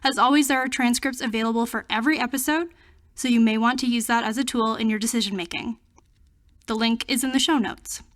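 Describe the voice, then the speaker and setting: A woman's voice: on the high side, steady tone, a little fast. A woman announcer reading a scripted content warning for a podcast.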